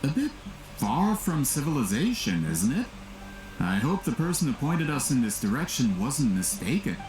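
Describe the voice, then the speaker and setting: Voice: medium pitch, big pitch swings, normal speed, lightly acted, low-quality recording. An actor playing a self-assured, insistent, businesslike publisher of history books in a video game. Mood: impatient. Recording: game music behind the voice.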